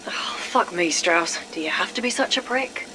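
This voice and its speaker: A woman's voice: on the low side, lively intonation, normal speed, background noise, lightly acted. A woman playing a tired, exasperated and sardonic scientist in an audio drama.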